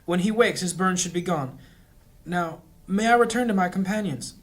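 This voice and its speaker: A man's voice: high voice, normal speed, natural voice. A man playing an anxious, devout priest and healer in a fantasy audio drama, with an earnest manner. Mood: solemn, devout.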